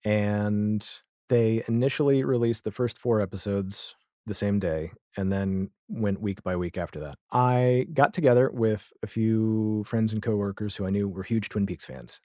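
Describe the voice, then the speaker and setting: A man's voice: on the low side, moderate pace, dark tone, no room sound, clean studio sound. A man talking casually as a podcast guest, retelling things in an opinionated way with emphatic asides and mimicked quotes. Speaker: podcast guest, audio drama creator.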